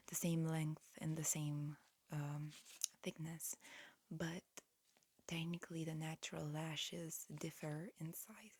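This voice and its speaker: A woman performs a whispered ASMR medical exam roleplay in a soft, fairly low voice. She speaks very slowly and carefully, like a calm examiner explaining each step. The microphone is close, with a faint hiss behind her. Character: calm, attentive alien examiner disguised as a human.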